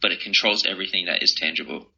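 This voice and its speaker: Man, interview guest, deep pitch, very sharp forceful tone, earnest, reflective, conversational, slightly lo-fi sound. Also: fairly even intonation, quick pace, creaky.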